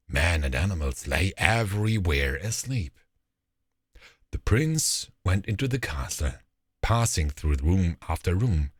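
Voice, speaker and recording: A man narrating a fairy tale as a storyteller, with deliberate, expressive phrasing. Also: deep voice, husky, no echo, clean studio sound.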